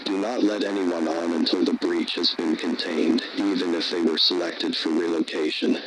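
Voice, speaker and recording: A man's voice: low voice, little pitch movement, moderate pace, noisy background, lightly acted. A man playing a commanding military base announcer, giving formal, authoritative emergency orders from a script. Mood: urgent, commanding.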